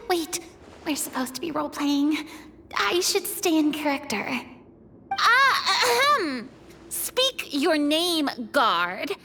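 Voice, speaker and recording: Game role: timid young girl adventurer, very high and swooping, unhurried, expressive. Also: slight background noise, heavily acted. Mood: mock stern. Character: young girl adventurer, anxious, easily startled, sweet. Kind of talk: anime-style game voice acting.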